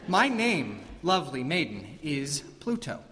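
A man's voice: a little high, big pitch swings, a little slow, a bit bright. A man playing an amiable, awkward king of the underworld in a comic myth drama, earnest, wry and apologetic. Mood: smitten. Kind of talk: comic mythological stage drama acting. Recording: reverberant hall, background room noise.

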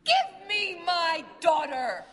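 Wailing, high-pitched mother goddess. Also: big pitch swings, slow pace, fairly bright tone, full character voice. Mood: furious. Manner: wailing, declamatory, theatrical. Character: furious grieving mother goddess, melodramatic and overprotective. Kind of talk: comic mythological stage drama acting. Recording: reverberant hall.

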